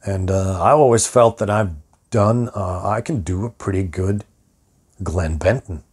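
A middle-aged man with a hoarse voice, rambling and swearing through a casual rant about his music to the camera. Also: deep voice, measured pace, a little mellow. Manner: rambling, profane, self-interrupting. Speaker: metal musician and vlogger.

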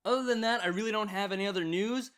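A man's voice: high-pitched, quick pace, fairly bright tone, clear, resonant tone. A man narrating fan fiction as a story reader and channel host.

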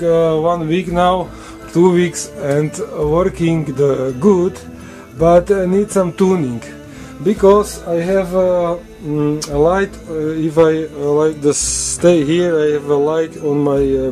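Man, non-native English, halting with many fillers, slightly high voice, home workshop, camera mic, faint background music. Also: mellow. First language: not English. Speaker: woodworking hobbyist showing his drill press. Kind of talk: casual workshop walkthrough. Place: home woodshop, indoors.

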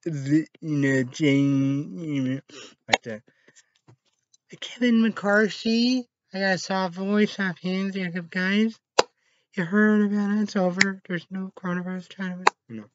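A man in his thirties hosting a rambling solo online show, reading items out haltingly with repeated phrases and sudden loud outbursts. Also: sits high, background noise. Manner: halting, spells words out, repeats phrases, bursts into loud exclamations. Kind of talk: rambling solo vlog reading weather and news headlines. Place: small dim room indoors. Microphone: webcam or laptop mic at arm's length. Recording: dull lo-fi webcam audio.

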